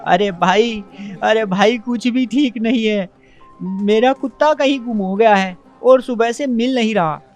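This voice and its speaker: A distraught cartoon merchant played by a man, soft-hearted and lamenting. Music plays behind his troubled talk. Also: sits high, a little slow. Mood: distressed. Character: distraught merchant who dotes on his lost dog. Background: background music.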